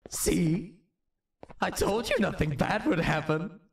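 Sly game jester creature, creaky, swoopy, coaxing. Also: male voice, high-pitched, huge pitch swings, a little hiss or hum, heavily acted. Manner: slow, coaxing. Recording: echoey, distant, music behind.

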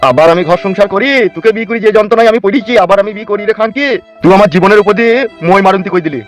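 A man voicing an irritable, foul-mouthed old bald husband in a comedy cartoon, snapping back with gruff, quarrelsome retorts. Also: high-pitched, rapid-fire, lightly acted. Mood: angry, scornful. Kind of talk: amateur comedy cartoon dialogue. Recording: music bed behind dialogue.

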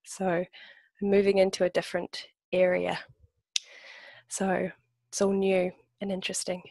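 A young woman introducing herself on a video call through a headset boom mic, friendly and brisk. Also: monotone, gravelly, a little mellow, no echo. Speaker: course participant.

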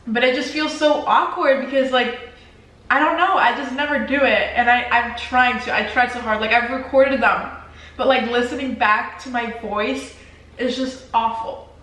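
A woman's voice: a little high, fairly even intonation, normal speed, fairly bright tone, far from the mic. Rambling teen girl in an echoey room. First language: Spanish. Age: teens. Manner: rambling, lots of "like" fillers and restarts. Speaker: vlogger. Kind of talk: casual Q&A chat while eating. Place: living room, seated on a leather couch. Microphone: camera across the room.

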